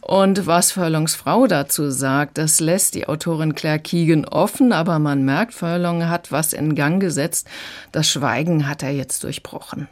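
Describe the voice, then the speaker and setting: Female reviewer with a deep voice, explaining books in measured radio talk. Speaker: audiobook reviewer.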